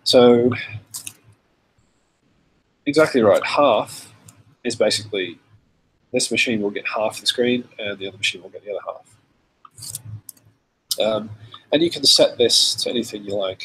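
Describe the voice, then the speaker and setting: A man with a cold and a slightly rough voice, hosting a casual live demo and answering viewer questions in a relaxed, chatty way. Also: on the low side, normal speed, long pauses, low-quality recording. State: has a cold, voice a bit rough. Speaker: software developer hosting a live stream.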